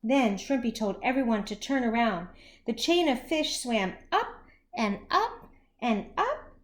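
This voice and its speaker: High-pitched, animated woman reading a children's story. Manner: animated, slow, deliberate, with questions to young listeners. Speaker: teacher reading a picture book to children. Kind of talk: expressive children's story read-aloud.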